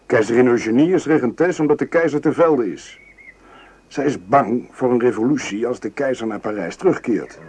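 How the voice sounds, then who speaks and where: Casting: male actor as worldly guards lieutenant, radio play, jovial, confident, rough-edged middle-register voice. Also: medium pitch, moderate pace, a little mellow, close to an everyday voice. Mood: confiding, grave. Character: confident, worldly French imperial guard lieutenant, genial and rakish.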